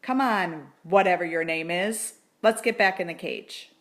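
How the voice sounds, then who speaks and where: A woman voicing a grouchy old man in a storybook, gruff and challenging. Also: on the low side, a little slow, close to an everyday voice. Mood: exasperated. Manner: growling, gruff.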